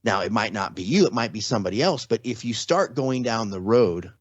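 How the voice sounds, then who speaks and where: A man's voice: fairly low pitch, animated, fairly quick, no echo. Man explaining casually, slightly dull close sound. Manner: rhetorical check-ins, repeats and restarts phrases. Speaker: podcast guest, trauma survivor and former security contractor.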